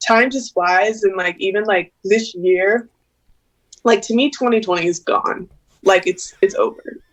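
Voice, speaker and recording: A woman's voice: mid-range voice, unhurried. A woman in her twenties, a singer and interview guest, talking casually on a video call, hesitant and giggly with many fillers. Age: 20s.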